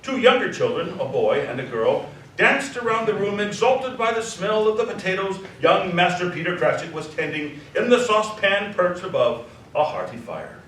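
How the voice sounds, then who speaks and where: A man narrating a scripted story dramatically, savoring the descriptive words with expressive delivery. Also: high-pitched, normal speed. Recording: echoey hall, distant mic, faint noise.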